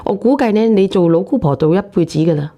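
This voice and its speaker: A woman's voice: medium pitch, animated, quick pace, warm tone. A woman with a Hong Kong Cantonese accent hosting an advice phone-in, talking bluntly and telling people what to do. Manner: blunt, directive. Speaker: host of a phone-in advice show. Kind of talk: unscripted relationship advice chat.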